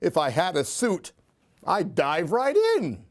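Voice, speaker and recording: A male storyteller reads for children in a high voice that swings widely in pitch. He takes his time, giving the rhymes a theatrical, singsong lilt. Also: sing-song, slow pace. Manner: theatrical, singsong rhyming delivery. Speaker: storyteller reading aloud. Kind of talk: expressive children's story reading.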